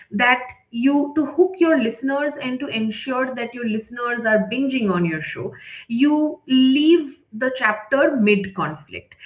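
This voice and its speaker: Woman instructor, voice on the high side, unscripted live-lesson delivery, emphatic repeats, very roomy echo. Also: fairly bright tone. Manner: unscripted, emphatic with repetition and check-in tags. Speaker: writing workshop instructor. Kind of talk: live online lesson, explanatory. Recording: echoey, roomy sound.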